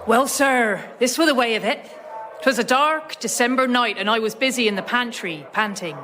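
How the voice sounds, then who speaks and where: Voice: mid-range voice, normal speed, bright, forceful tone, natural voice. A performer playing a jolly old landlady, telling a dramatic tale in a radio comedy sketch. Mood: dramatic, earnest. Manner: rustic, dramatic storytelling. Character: jolly old West Country innkeeper woman telling ghost tale. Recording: live audience crowd noise.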